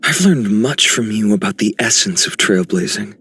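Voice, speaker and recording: A noble game hero with a low, rough-edged husky voice. He speaks in formal, earnest phrases suited to a fantasy epic. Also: animated, normal speed, a bit bright, heavily acted. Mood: warm, earnest. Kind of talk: scripted game character voice lines.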